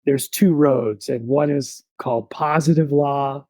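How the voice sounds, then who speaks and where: A man in his thirties, a podcast guest, telling his story in a relaxed, reflective way with many fillers. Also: medium pitch, a little slow, no echo.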